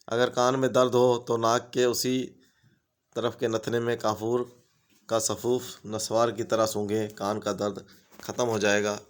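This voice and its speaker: A man's voice: on the low side, clean tone. A man, steadily reading out a list of home remedy tips as a channel host.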